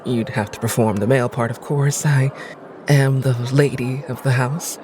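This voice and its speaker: A woman playing a haughty, cynical noblewoman in an audio drama, with an arch, aristocratic and deliberate manner. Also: a bass voice, fairly even intonation, crowd noise. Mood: coy, needling. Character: haughty, cynical, insistent noblewoman, lady of the house.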